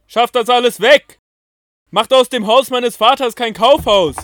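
A man's voice: very high-pitched, a little slow, a bit bright. A man acting a commanding, firm male teacher in a scripture audio drama, speaking in an emphatic, declamatory way. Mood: angry.

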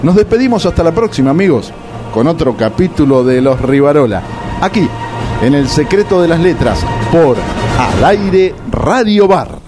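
A man's voice: a little high, very animated, normal speed, music in the background. A man, giving comic scripted narration for a radio play.

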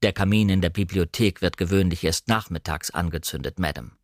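A man voicing a reserved butler of a grand house in an audiobook, speaking in a measured, deferential, formal manner. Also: low-pitched, a little mellow, dry recording, clean studio sound, pitched below the performer's own voice. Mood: politely reserved. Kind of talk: audiobook character reading.

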